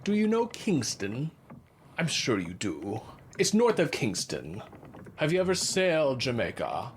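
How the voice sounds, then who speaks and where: An actor playing a pompous, fussy first mate of an old sailing ship in a theatrical audio drama, with florid archaic phrasing. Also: male voice, medium pitch, huge pitch swings, measured pace, a little hiss or hum. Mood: grandly reminiscing.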